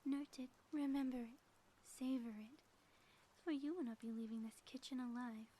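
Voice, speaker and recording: A high, softly mellow voice of a woman acting as a gloating villainess in a roleplay. She speaks in florid, grandiose phrases with playful menace. There is faint noise behind her. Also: fairly even intonation, moderate pace, natural voice. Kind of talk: scripted audio roleplay monologue, ASMR.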